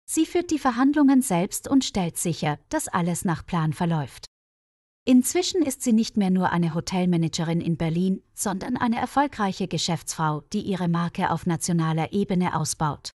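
A woman reading a scripted story as a narrator for language learners, in a measured read-aloud manner. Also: fairly low pitch, animated, darkish tone, dry recording, clean studio sound.

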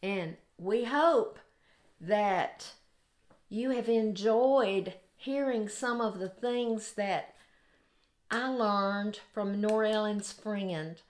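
An older woman with a Southern US accent, sharing an informal devotional in an earnest, deliberate way. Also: medium pitch. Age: 60s or older. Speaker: host of a devotional video. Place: home kitchen, indoors.

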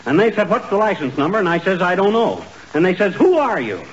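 A man playing an excitable, boastful middle-aged husband in a 1940s radio comedy, flustered and rambling. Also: high-pitched, fast talker. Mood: blustering. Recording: muffled 1940s radio broadcast.

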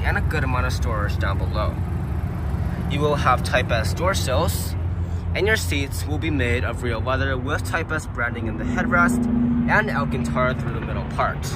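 A man's voice: medium pitch, heavy background noise. A man reviewing a car, listing its features in a plain, matter-of-fact way as he walks around and sits in it. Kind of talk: walkaround car review narration.

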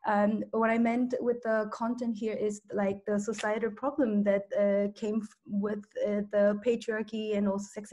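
A young woman on a video call, speaking in a mellow, mid-pitched voice. She talks hesitantly, filling gaps and restarting as she works out her point. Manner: hesitant, with many fillers and restarts. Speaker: community organizer. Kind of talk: panel discussion over video call.

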